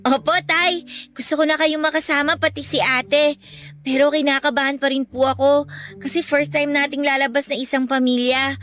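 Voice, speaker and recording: An actress playing a sweet, polite and shy teenage Filipino daughter in a radio drama. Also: female voice, high voice, level tone, normal speed, fairly bright tone, soft background music. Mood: excited, nervous.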